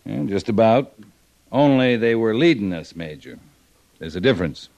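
An actor playing a firm, plain-spoken cavalry scout with an American accent, reporting matter-of-factly in an old-time radio drama. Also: male voice, lowish voice, lively intonation, normal speed, darkish tone, no room sound. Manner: matter-of-fact, clipped, assured.

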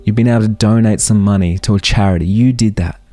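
A man's voice: on the low side, fast, dark tone, soft background music. A man in his thirties hosting a solo motivational podcast, talking earnestly and firing off rhetorical questions. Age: 30s. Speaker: podcast host.

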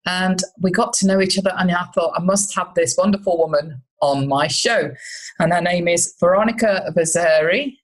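A woman with a slightly low voice hosts a relationship podcast. She chats in a friendly, conversational way and sometimes restarts her sentences as she goes. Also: moderate pace, low-quality recording. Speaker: podcast host, relationship coach. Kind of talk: casual interview chat.

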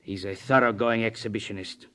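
Menacing communist agent, commanding and condescending, measured delivery, old-time radio recording. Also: male voice, fairly low pitch, steady tone, sharp tone. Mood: stern, instructing. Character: stern, menacing male Soviet agent and party boss. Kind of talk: 1950s radio drama acting. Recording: vintage 1950s radio recording.

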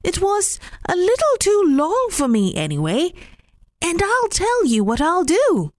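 A woman voicing a generous, gentle male rabbit in a storybook reading, with a high, singsong manner. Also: wildly swooping pitch, measured pace, no room sound, studio quality, full character voice. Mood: cheerful.

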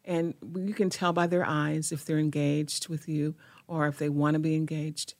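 A woman, a guest representing a foundation, speaking in quick, halting bursts with frequent restarts. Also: deep voice, little pitch movement, darkish tone, dry recording. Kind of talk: casual interview conversation.

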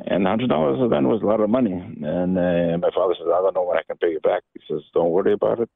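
A man's voice: low voice, gravelly, husky, no room sound. Older man calling in on a phone line. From Albania. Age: 60s. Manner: halting, many restarts and repeated words. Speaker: caller. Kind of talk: casual phone-in chat. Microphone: telephone.

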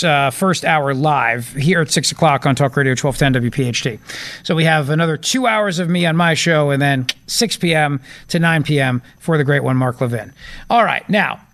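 A male radio host talks straight into a studio mic, his voice sitting a little high for a man. He presses his points hard and says them twice for weight. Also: moderate pace, a bit bright. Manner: emphatic, repeats points for stress. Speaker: radio talk show host. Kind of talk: opinionated talk radio commentary.

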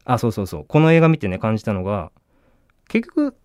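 Fast-talking man with lively intonation. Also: fairly low pitch, dry recording, studio quality. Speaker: show's writer and guest presenter. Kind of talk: casual chat, pitching ideas.

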